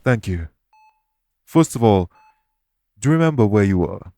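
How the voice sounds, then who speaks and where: A man voicing a calm, courteous winged elf in an audio roleplay, speaking formally and patiently to reassure the listener. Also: fairly low pitch, unhurried. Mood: measured, explanatory.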